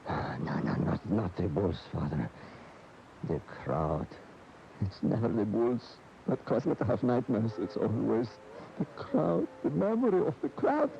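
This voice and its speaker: Brooding, low-voiced haunted former bullfighter. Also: male voice, big pitch swings, creaky, slight background noise, exaggerated voice. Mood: haunted, agitated. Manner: halting, stammering, brooding. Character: aging ex-bullfighter turned priest, haunted and earnest. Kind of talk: radio drama acting. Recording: dull 1980 AM radio recording, music behind.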